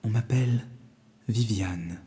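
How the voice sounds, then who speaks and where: A man voicing a curious, courtly young enchantress in a storybook, softly and courteously coaxing. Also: lowish voice, slow pace. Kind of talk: storybook character voice.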